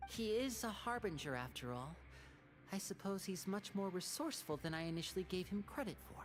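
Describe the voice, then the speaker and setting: A woman's voice: mid-range voice, lively intonation, a little fast, close to an everyday voice. An actress playing a composed, shrewd female agent in a video game. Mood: measured, musing.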